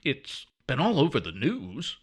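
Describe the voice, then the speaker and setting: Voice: mid-range voice, huge pitch swings, unhurried, husky, full character voice. An actor playing an earnest, formal president in a comedy audio drama. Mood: earnest, urgent. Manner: urgent, earnest.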